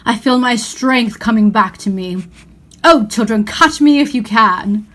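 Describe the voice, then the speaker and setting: A woman reading as a great storybook lion, majestic and solemn. The speech is measured and grand, with a gentle nobility in every pronouncement. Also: on the high side. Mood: joyful, strong.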